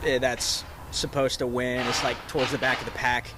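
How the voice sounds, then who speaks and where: A man's voice: medium pitch, moderate pace. Young man athlete, casual, outdoor noise. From Texas, Dallas suburbs. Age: young adult. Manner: relaxed, many fillers and restarts. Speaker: college runner. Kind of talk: casual press interview.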